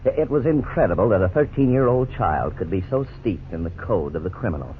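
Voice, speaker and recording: A man playing an authoritative lawyer who narrates a case history in an earnest, measured 1950s radio style. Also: fairly low pitch, lively intonation, lightly acted. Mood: earnest, explanatory. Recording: tinny, noisy 1950s radio recording.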